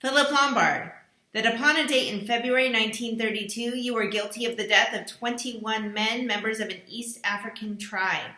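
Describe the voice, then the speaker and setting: A woman reading out a formal list of accusations in a slow, declaiming voice. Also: a little high, pushed and bright, reverberant, voice pushed up, poor audio. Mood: accusing.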